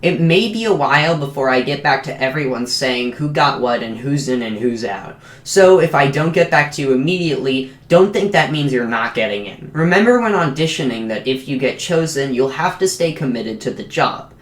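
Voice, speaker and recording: A man reading a scripted explainer announcement as the creator of an animated show. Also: medium pitch, normal speed, few pauses. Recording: roomy, echoing voice recording.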